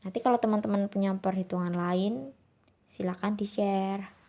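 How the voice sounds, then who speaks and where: A woman's voice: mid-range voice, steady tone, normal speed, dark tone. A young woman from Java speaks with a Javanese accent. She talks her viewers through practice questions step by step. Her tone is friendly and informal. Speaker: exam tutor. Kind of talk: explaining exam questions to viewers.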